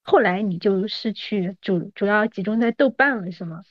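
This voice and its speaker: Podcast host, woman in her thirties, medium pitch, attentive and conversational, dry and slightly dull audio. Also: normal speed, dry recording. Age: 30s. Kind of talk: casual chat.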